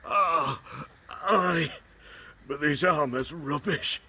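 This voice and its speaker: An actor playing a blustering, boastful dwarf smith in a fantasy game, blunt and grumbling with a raspy voice. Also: male voice, a little high, extremely slow, pushed and bright, exaggerated voice. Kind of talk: fantasy video game acting.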